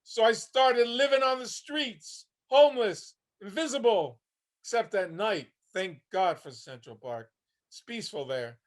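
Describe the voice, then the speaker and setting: Man playing a haunted homeless old veteran, high voice, gritty plainspoken delivery, bitter, world-weary, stage drama monologue. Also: lively intonation, measured pace. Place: online video call reading.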